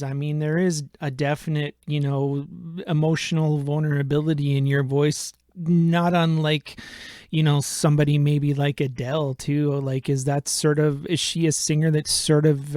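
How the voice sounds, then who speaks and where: Flat-toned radio host with dry sound. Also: male voice, on the high side, no echo. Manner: rambling, hedging questions with many fillers. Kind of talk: interview questions.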